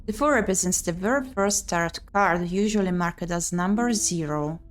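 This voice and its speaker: A woman's voice: on the low side, moderate pace. A woman doing let's play commentary, reading visual novel text aloud expressively with muttered reactions. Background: game music.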